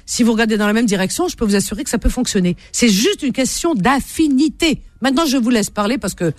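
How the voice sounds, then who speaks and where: A woman's voice: on the high side, expressive, fast talker, dry recording. A woman hosting a radio call-in show, lecturing listeners insistently and repeating her points.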